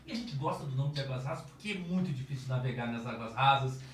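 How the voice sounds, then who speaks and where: A man's voice: medium pitch, fast. A man co-hosting a podcast in a joking, chatty way. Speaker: podcast co-host. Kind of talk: casual podcast chat. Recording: roomy, distant mic with faint noise.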